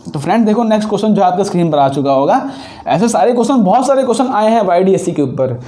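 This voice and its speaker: High-pitched man with a sore throat. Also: expressive, fast talker, dark tone. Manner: explains step by step, repeats key points, mixes Hindi and English. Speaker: physics teacher. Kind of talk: solving exam problems on a board.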